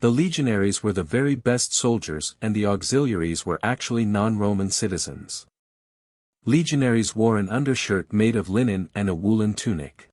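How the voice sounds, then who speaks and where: A man with a fairly low voice narrates a practice reading passage. He keeps a measured, neutral delivery, and the sound is very dry, free of any room echo. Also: lively intonation, darkish tone. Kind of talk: scripted read-aloud narration of a short passage.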